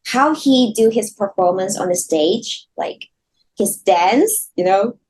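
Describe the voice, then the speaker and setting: A woman from Hong Kong talking as an interview guest over a video call, soft-spoken and halting, often laughing. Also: medium pitch, animated, husky, poor audio. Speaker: guest singer. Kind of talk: casual interview chat.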